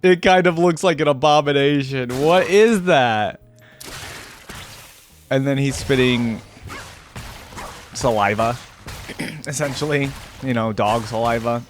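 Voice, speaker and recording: A man's voice: medium pitch, slow pace, long pauses, a little hiss or hum. A young man hosting a casual reaction video, thinking aloud as he watches, with frequent exclamations and laughs.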